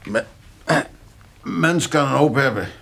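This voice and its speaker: A stubborn countryman in a radio play. His mid-pitched voice gives short, halting, grumbling replies, naturally and conversationally. There is faint noise in the background. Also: vocal fry. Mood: grumbling, resigned. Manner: hesitant, short muttered replies. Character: stubborn, grumbling countryman just home from hospital.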